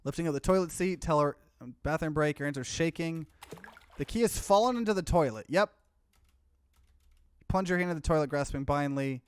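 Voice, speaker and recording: A man with a fairly high voice narrates a game for a let's play. He reads on-screen text plainly, then slips into casual, offhand commentary. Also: brisk, clean tone, no room sound.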